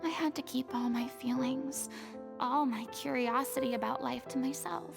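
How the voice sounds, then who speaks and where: Young former idol, guarded, high-pitched, theatrical, wistful, video game, music underneath. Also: female voice, steady tone, moderate pace, creaky. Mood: wistful, confiding. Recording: music behind the voice.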